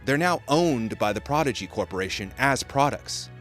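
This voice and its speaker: A man's voice: mid-range voice, expressive, moderate pace, fairly bright tone, soft background music. A man delivering scripted video-essay commentary with casual asides.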